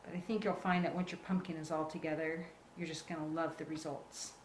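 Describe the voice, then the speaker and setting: Woman, lowish voice, reassuring craft instructor, casual live delivery in a reverberant room. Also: level tone, brisk. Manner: reassuring, encouraging. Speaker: craft tutorial host. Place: indoor work table. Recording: roomy echo, clear background noise.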